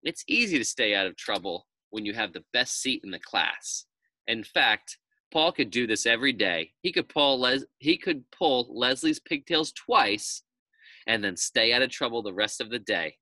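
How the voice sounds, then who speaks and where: Male storyteller, expressive children's read-aloud, lively swooping intonation, bright tone, dry sound. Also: mid-range voice, moderate pace, no echo.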